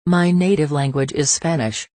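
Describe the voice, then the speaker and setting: A woman with a very deep voice for a woman reads learner phrases one by one. Her delivery is slow and measured, with a pause after each line. The recording is dry, with no reverb. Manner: slow, even, careful phrase-by-phrase reading. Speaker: phrase reader. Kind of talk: reading translated phrases for learners.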